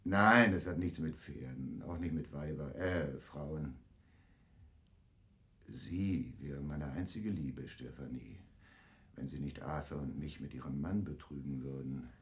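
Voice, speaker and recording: An actor playing a brash, fast-talking schemer with slick, salesmanlike patter in a radio drama. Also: male voice, extremely low pitch, fairly bright tone, husky, exaggerated voice. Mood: sly, joking. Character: brash, fast-talking wheeler-dealer man pitching schemes to film people.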